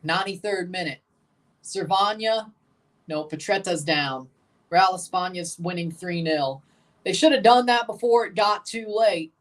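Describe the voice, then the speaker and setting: A man's voice: a little slow, distant mic. Opinionated man reacting to soccer live. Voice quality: low voice. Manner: opinionated, blunt hot takes; excitable, jumps high in pitch when agitated. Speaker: livestream host reacting to a soccer match. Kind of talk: casual livestream commentary, answering chat questions.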